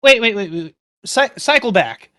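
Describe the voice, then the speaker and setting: A man's voice: high voice, expressive, normal speed, sharp tone. A man playing a tabletop game, speaking casually in character with wordy, wry phrasing.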